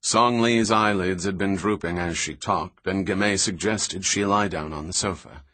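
Deep, husky male audiobook narrator. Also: moderate pace, bright, forceful tone, no room sound. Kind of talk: scripted narration.

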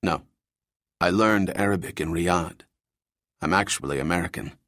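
A steady, hardened male operative, read by a male narrator, speaking low and even. The voice is deep and dry, close to the mic with no room around it. He keeps his words quiet and controlled. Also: moderate pace, no echo. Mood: calm. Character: tough middle-aged male operative, calm and steady.

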